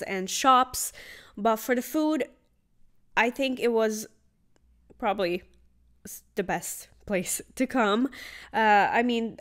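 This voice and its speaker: A woman travel vlogger chatting casually about market food as she tastes it. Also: fairly high pitch. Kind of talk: casual travel vlog narration. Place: outdoor night market.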